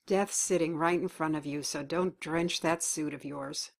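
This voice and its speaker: A woman's voice: deep voice, fairly even intonation, measured pace, vocal fry. An actress playing a dying older mother who talks in a blunt, folksy, wry way. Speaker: actor. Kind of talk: web drama acting.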